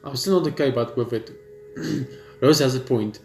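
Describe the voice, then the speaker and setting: A man's voice: mid-range voice, unhurried, creaky, a little mellow. A man hosting a let's play, reading game text aloud with casual asides and stumbling over the odd word. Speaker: gaming video host. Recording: echoey room, game music behind.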